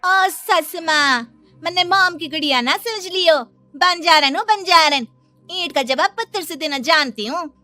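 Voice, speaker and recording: A woman's voice: extremely high pitch, pushed and bright, no room sound, faint music behind, heavily acted. A woman playing a feisty young nomad bride in a cartoon story, sassy and defiant. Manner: defiant, sassy, drawn-out taunts. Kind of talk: Hindi cartoon story acting.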